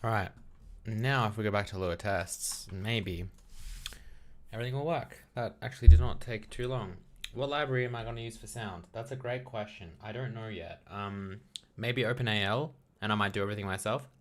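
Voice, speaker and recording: Low-voiced man mumbling while coding. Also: moderate pace, a little hiss or hum. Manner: mumbling, trailing off while thinking aloud; relaxed, self-deprecating and talkative with chat. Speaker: streamer. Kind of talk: casual live-stream chat while coding. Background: keyboard typing and clicks.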